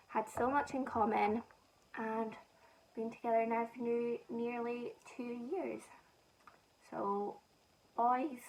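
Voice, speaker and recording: Young Scottish woman, beauty vlogger, rambling and self-mocking, slightly high voice. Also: darkish tone, distant mic, a little hiss or hum, poor audio. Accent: Scottish softened by northern English. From Scotland. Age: young adult. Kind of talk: casual chat while doing makeup.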